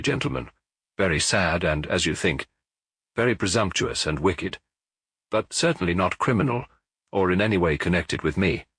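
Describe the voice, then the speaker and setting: A man's voice: sits low, fairly bright tone, breathy edge, dry recording, clean studio sound, full character voice. A male narrator playing a self-assured sun-cult prophet in an audiobook detective story, with lofty, declamatory delivery.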